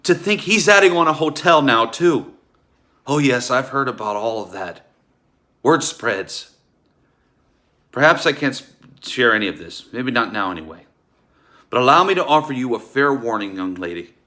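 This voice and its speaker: A man acting a bitter, forceful wronged husband. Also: medium pitch, lively intonation, moderate pace, pauses often. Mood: bitter, ominous. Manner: accusatory, ominous, emphatic. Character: bitter, wronged husband warning about a sinister doctor. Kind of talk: theatrical musical table read.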